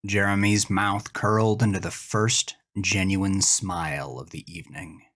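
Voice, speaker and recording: A man narrating a horror story with slow, intense delivery. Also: low-pitched, fairly even intonation, fairly bright tone, dry recording, clean studio sound.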